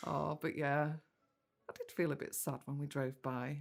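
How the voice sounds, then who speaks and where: An actress playing a wistful, caring middle-aged British daughter in a naturalistic audio drama, speaking gently and reflectively. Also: female voice, sits low, fairly even intonation, normal speed, creaky.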